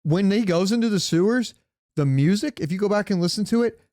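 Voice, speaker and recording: A man talking casually and excitedly as a podcast co-host. His voice sits a little high and comes across clean and smooth, with very little room sound. He peppers his talk with slang and swearing. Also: brisk, no echo, clean studio sound. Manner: enthusiastic, profane, slangy. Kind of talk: casual chat about comics, movies and games.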